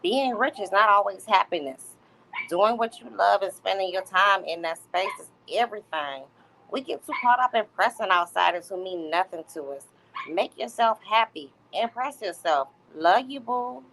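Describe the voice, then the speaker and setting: A woman's voice: mid-range voice, expressive, normal speed, gravelly, fairly bright tone, slight background noise, poor audio. Casual woman hosting a livestream. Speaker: livestream host. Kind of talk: casual commentary and reading text messages aloud.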